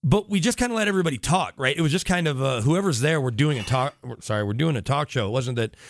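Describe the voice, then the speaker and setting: A man's voice: mid-range voice, animated, fast, slight background noise. A middle-aged American man, a radio reporter guesting on a podcast, tells rambling anecdotes in casual, talkative chat.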